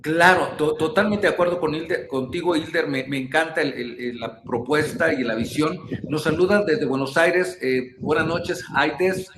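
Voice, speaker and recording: Male live-stream host, halting, repeats himself; echoey, noisy room. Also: a little high. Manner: warm greetings, halting restarts, repeated words. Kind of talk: live stream interview hosting. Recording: roomy sound with clear background noise.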